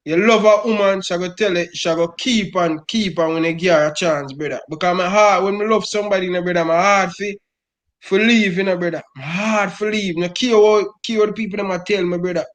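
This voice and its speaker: A man's voice: sits high, fairly even intonation, brisk. A middle-aged man with a Jamaican Patois accent, speaking as a football fan in an impassioned rant and calling everyone "brother" over and over. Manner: emphatic, repeats "brother" constantly.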